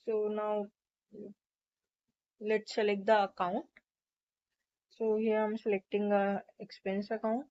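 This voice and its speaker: A woman narrating a step-by-step software tutorial in an instructional, explanatory way. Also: mid-range voice, little pitch movement, slow pace, pauses often. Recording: dull, lo-fi microphone sound.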